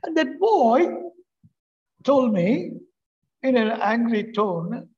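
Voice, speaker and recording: An older man from India, a literature teacher whose first language is Tamil, giving a speech to students in Indian English, halting with many "uh" fillers and restarts. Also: very high voice, big pitch swings, dark tone, highs cut off. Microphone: remote talk, unseen audience.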